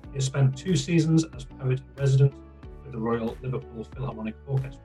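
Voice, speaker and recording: A man's voice: mid-range voice, fast, mellow, faint music behind. A man hosting a podcast, reading an upbeat introduction and welcoming his guest. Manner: upbeat, promotional. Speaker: podcast host. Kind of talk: scripted introduction and interviewing.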